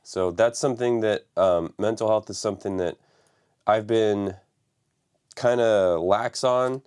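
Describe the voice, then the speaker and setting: A man hosting a live stream from his home studio, raspy from the flu and talking loosely with many fillers. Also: on the low side, a little slow, a little mellow. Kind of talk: casual live stream talk.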